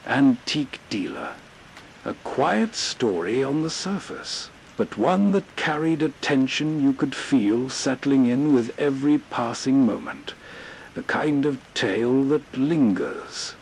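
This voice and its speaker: Slow-paced male late-night radio host. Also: medium pitch, a little mellow, low-quality recording. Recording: faint hiss and rain behind voice.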